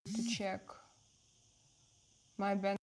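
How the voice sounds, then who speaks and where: A woman from Russia with a Russian accent, chatting casually as a livestream host and answering viewers in halting English with repeated phrases. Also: mid-range voice, flat delivery, a little mellow.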